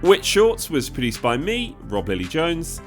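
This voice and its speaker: A man reads the closing notes of a podcast in an upbeat, announcer-like way. His pitch moves up and down a lot through each line. Quiet music sits under him. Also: huge pitch swings, unhurried. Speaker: podcast producer and host. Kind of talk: scripted podcast outro.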